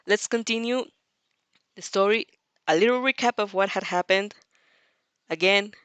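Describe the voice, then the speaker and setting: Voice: medium pitch, a bit bright, smooth, no room sound. Giggly young streamer, close dry sound. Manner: giggly, playful, rambling with self-interruptions. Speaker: host of a fan fiction reading stream. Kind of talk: casual livestream chat and dramatic read-along reaction.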